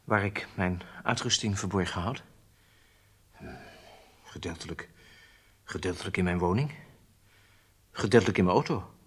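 Halting, cornered spy confessing. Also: male voice, low voice, breathy edge. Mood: nervous, compliant. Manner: hesitant, halting, submissive. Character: weak-willed, cornered German horse breeder confessing as spy. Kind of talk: radio drama acting.

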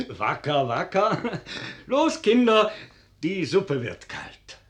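A man's voice: high voice, big pitch swings, very slow, exaggerated voice. An actor playing a jovial, fussy middle-aged schoolmaster and father in a German radio play, hearty and exclaiming, with old-fashioned courtesy. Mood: hearty, coaxing.

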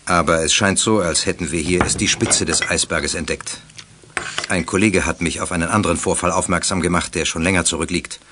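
Deep male voice, actor playing strict headmaster, authoritative, children's radio play, noisy recording. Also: fairly even intonation, fairly quick, close to an everyday voice. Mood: grave, admonishing. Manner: strict, commanding. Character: stern authoritative school principal and father of teenagers. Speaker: actor in a children's audio drama. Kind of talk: 1980s German children's radio play acting.

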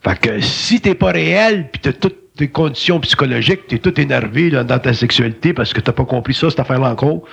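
A man's voice: mid-range voice, lively intonation, a little fast, gravelly, few pauses, a bit bright, slightly rough. A man with a Québécois French accent, giving emphatic, colloquial spiritual talk in a philosophical conversation. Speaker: spiritual speaker.

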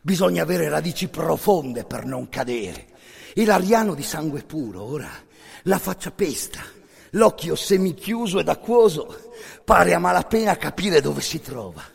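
A man narrating a radio theatre monologue, intense and incantatory, with repeated words and dramatic pauses. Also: fairly high pitch, sing-song, creaky, breathy edge. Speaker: actor narrating a stage monologue. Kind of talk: dramatic second-person storytelling, radio theatre. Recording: long reverberant hall sound.

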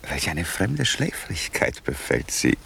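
A very raspy, low-voiced royal conspirator. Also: male voice, sing-song, unhurried, sharp tone, heavily acted. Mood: wry, curious. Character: idle royal brother, doubtful, then greedy conspirator. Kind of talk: classic German radio play acting, verse drama.

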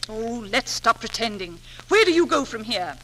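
An actress playing a blunt, domineering middle-aged aunt with a cutting, imperious manner in a 1940s radio drama. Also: female voice, a little high, big pitch swings, normal speed. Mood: scornful. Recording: noisy 1940s radio broadcast.

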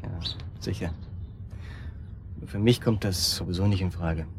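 An actor playing a self-doubting young man who speaks haltingly in naturalistic radio drama. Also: low-pitched. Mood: guarded, polite. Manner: halting, repeating himself. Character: Bernie, hesitant, self-doubting young man burdened by guilt. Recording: noticeable background noise and ambience.

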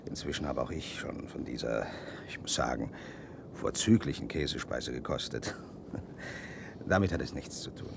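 An actor playing a sarcastic, self-assured spaceship leader in a German radio play, with theatrical, deliberate phrasing. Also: male voice, a bass voice, expressive, gravelly, noisy background, exaggerated voice. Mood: defensive.

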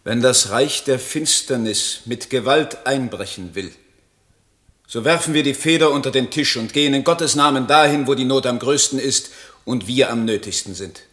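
An actor playing an idealistic, embittered young poet in a literary radio play, declaiming solemnly and rhetorically. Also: male voice, medium pitch, moderate pace, fairly bright tone, close to an everyday voice. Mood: impassioned. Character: idealistic, embittered young male German poet, brooding and impassioned.

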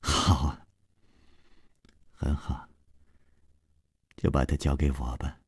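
A proud, cruel young woman disciple, as a male storyteller puts her on, sneering one moment and snapping loudly the next. Her voice sits in a low register with wide swings of pitch. The recording is close and dry. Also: sing-song, normal speed, no echo. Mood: menacing.

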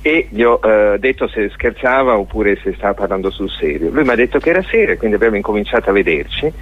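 Guy on a phone-quality line, lowish voice, radio guest explaining stuff, kinda hesitant and rambling. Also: animated, fast, slightly rough. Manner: hesitant, searching for words, discursive. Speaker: guest, theatre and dance artist. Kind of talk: radio interview, explaining a show. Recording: narrow tinny phone-like line.

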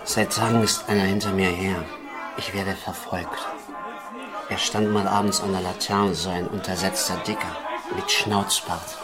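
A man's voice: low-pitched, flat delivery, normal speed, lightly acted. An actor playing a nervous, evasive small salesman in a tavern scene of a German radio drama, uneasy and defensive. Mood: anxious, evasive. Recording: loud music and pub crowd behind. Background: music and crowd of a tavern scene.

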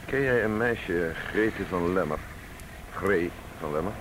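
A police detective in a radio play, with a low voice. He is calm and probing, formal and measured, over loud background noise. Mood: probing, polite. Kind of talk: Dutch radio drama acting. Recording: noisy radio play, vehicle ambience.

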